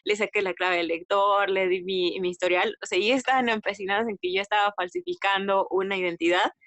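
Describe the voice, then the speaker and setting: A woman from Mexico with a Mexican accent, chatting casually as a podcast guest, retelling anecdotes in an animated way with slang and quoted dialogue. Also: medium pitch, brisk, no room sound. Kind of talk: casual conversational storytelling.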